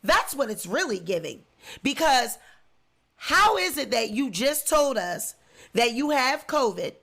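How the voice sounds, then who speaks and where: A woman's voice: fairly high pitch, gravelly, a bit bright. A congested woman with the flu giving indignant, emphatic celebrity commentary in a vlog. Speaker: commentary vlogger.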